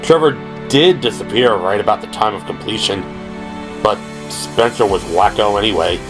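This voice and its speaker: A man voicing a blunt, knowing middle-aged police teammate in an audio drama, with gruff, slangy, unhurried delivery over music. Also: fairly low pitch, expressive, close to an everyday voice. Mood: dismissive. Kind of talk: audio drama character acting.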